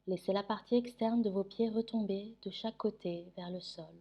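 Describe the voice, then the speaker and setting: A woman guiding a sleep meditation in French, giving soothing, gentle instructions with long pauses. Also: medium pitch, fairly even intonation, highs cut off. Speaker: yoga nidra meditation guide.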